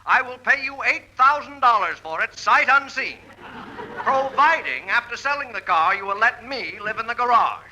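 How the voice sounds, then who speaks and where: An actor playing a brazen used-car buyer declaiming a pitch in a 1940s radio comedy. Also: male voice, lively intonation, moderate pace, pushed and bright, full character voice. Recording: faintly noisy 1940s radio recording.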